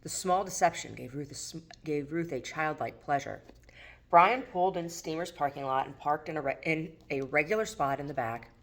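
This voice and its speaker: A woman's voice: low voice, moderate pace, a bit bright. A woman narrating her own work of fiction as an audiobook reading. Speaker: author reading own novel aloud.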